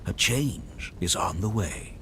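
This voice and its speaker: A man's voice: sits low, animated, slow, gravelly, very noisy, heavily acted. A man acting a flamboyant, grandiose schemer in a video game cutscene, speaking with pompous theatrical flourishes. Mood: solemn warning. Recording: loud music behind the voice.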